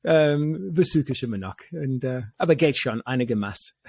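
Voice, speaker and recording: A middle-aged native English speaker from New Zealand with a New Zealand accent, talking about himself as an interview guest, searching for words and slipping in English. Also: male voice, medium pitch, expressive, normal speed, creaky, a little mellow, no room sound. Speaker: podcast guest, software testing entrepreneur. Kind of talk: conversational interview, telling his career story.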